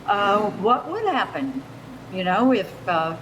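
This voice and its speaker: A woman moderating a public session informally, encouraging and pausing as she goes, in a noisy recording. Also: very animated, a bit bright, lo-fi sound. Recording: noisy room recording with low rumble.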